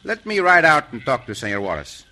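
An actor playing a gallant, calm cowboy hero in an old-time radio western, courteous and firm. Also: male voice, medium pitch, fairly quick. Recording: noisy old radio show recording.

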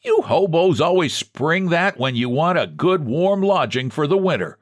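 A man voicing a gruff, impatient jail keeper with a brusque, scolding manner in a story reading. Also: on the high side, sing-song, moderate pace, no echo, clean studio sound, voice pushed up. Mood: scornful.

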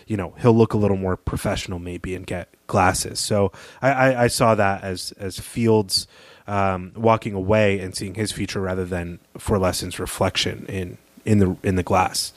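A man with a fairly low voice co-hosts a literary podcast. He analyses fiction in a reflective, opinionated way. The recording is dry, free of room echo. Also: steady tone, moderate pace. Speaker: podcast co-host.

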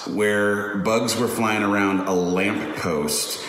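Low-voiced man bantering playfully. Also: fairly even intonation, slow, a bit bright. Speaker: morning show co-host. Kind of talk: casual morning show chat. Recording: echoey room with long reverb.

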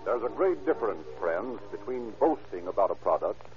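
A man's voice: on the low side, sing-song, normal speed, over music. A man with an American accent announcing from a script in formal, declarative 1930s radio style. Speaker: announcer and sponsor spokesman. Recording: muffled vintage radio recording.

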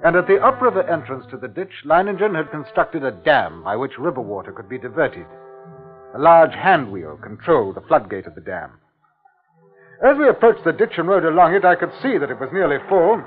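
An actor playing an uneasy, awestruck district commissioner in a measured, grave 1950s radio drama style. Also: male voice, on the high side, very animated. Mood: grave, awed. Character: uneasy, awestruck male colonial district commissioner recounting his ordeal. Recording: tinny, band-limited 1950s radio recording, music behind.

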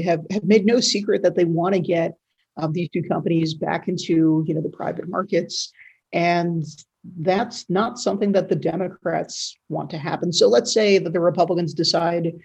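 A man giving conversational news analysis as an editor, speaking haltingly and often repeating words mid-sentence. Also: high voice, creaky, darkish tone, slightly rough, muffled recording. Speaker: news editor and guest commentator.

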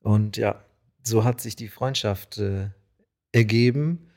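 A man from Poland, a guest musician in a studio podcast, speaking casually in halting phrases with fillers. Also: lowish voice, darkish tone. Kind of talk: casual interview talk.

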